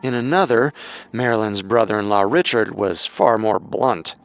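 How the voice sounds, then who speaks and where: Low-pitched male true-crime narrator. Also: normal speed, gravelly, faint music behind. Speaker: host and narrator.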